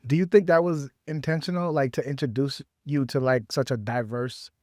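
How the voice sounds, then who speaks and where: An American radio interviewer from Minnesota asking questions casually and haltingly, often searching for words. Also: medium pitch, steady tone, a little mellow, dry recording, studio quality. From Minnesota, USA.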